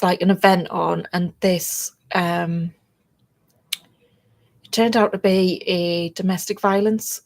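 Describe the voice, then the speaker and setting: A woman with a North East English accent chatting to camera in a meandering way, her throat a little froggy and chesty. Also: on the low side. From North East England. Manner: chatty and meandering, drifting between topics. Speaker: vlogger. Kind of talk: casual chat to camera.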